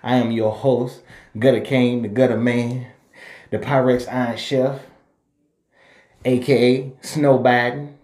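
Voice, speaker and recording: A man's voice: medium pitch, level tone, takes it slowly, pauses often, darkish tone, reverberant. A man hosting a casual podcast interview, laid-back and slangy, filling his talk with "you know what I'm saying". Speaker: podcast host. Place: home podcast room.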